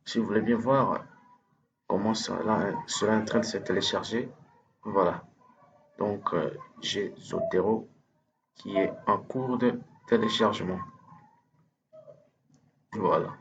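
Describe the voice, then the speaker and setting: French-speaking guy walking through a software install, lots of euh, low-ish voice, bit of hiss behind. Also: slow, gravelly, pauses often, highs cut off. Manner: hesitant, many fillers and restarts. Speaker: instructor. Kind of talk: screen-recorded software tutorial.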